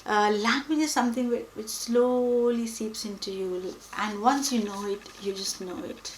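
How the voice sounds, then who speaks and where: A young woman whose first language is Hindi, talking reflectively and pausing often with fillers. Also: a little high, slight background noise. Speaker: book vlogger. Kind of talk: reflective personal talk to camera. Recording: echoey room with faint ambience.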